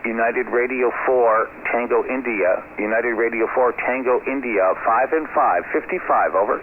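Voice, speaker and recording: A man on a ham radio repeats his calls and spells out call signs letter by letter in a measured way. His voice comes through a thin, tinny shortwave channel. Loud hiss and static sit under every word. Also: medium pitch. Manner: measured, repetitive phonetic spelling.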